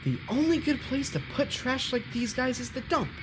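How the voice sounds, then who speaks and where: Woman voicing upbeat children's show character, sing-song, high-pitched, swooping intonation, shady fixer, audio drama. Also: sing-song, normal speed, very noisy. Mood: brisk, cheery.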